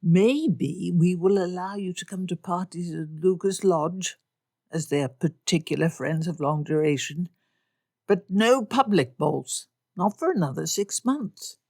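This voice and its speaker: A woman voicing a weary father with dry, deadpan wit. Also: lowish voice, a little slow, no room sound. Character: weary dry-witted father of five daughters.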